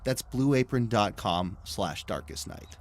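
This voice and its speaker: A man's voice: on the low side, lively intonation, measured pace. A man hosting a podcast, giving a casual sponsor read with chatty asides. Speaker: podcast host reading sponsor.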